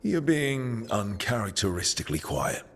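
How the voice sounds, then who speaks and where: An actor playing a steady, supportive and slightly wry male team leader in a video game. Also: lowish voice, normal speed, heavily acted. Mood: reassuring. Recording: long reverb, faint music.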